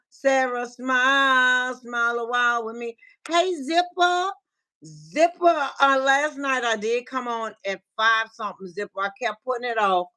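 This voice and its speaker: A woman's voice: mid-range voice, pushed and bright. A woman from Minnesota hosting a casual livestream. She chats and greets viewers from the chat, with frequent restarts and laughter. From Minnesota, USA. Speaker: livestream host and life coach.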